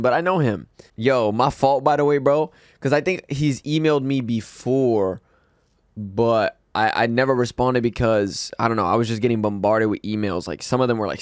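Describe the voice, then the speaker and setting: A man hosting a reaction video, talking to viewers in an excitable, slangy, casual way. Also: medium pitch, no room sound, clean studio sound. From Brazil.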